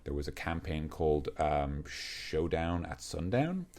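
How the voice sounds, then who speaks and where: Very deep-voiced man, relaxed podcast chat. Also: measured pace. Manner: relaxed, rambling, jokey with filler ums. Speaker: podcast co-host. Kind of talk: casual podcast chat about tabletop monsters.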